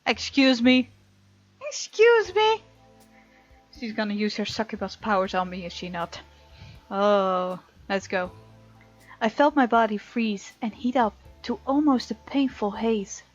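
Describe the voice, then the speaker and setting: A woman with a fairly high voice narrates a game as she plays, reading the text dramatically and throwing in sassy reactions. The recording is slightly dull and lo-fi, with soft game music behind her. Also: unhurried. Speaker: gaming channel host.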